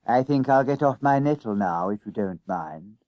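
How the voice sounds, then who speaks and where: A man's voice: medium pitch, expressive, normal speed, a little mellow, no echo, lightly acted. A man voicing an anxious, literal-minded schoolboy sidekick in an audiobook, fussy and plaintively complaining. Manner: complaining, hesitant, politely fussy.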